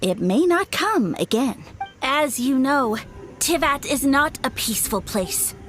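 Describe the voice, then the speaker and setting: A woman's voice: high voice, expressive, measured pace. A woman voicing a boastful little mushroom creature in a video game, speaking in a grandiose, haughty, theatrical way. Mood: haughty, grand. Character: pompous, boastful little mushroom creature posing as a mighty lord. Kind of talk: scripted video game voice acting. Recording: music behind the voice.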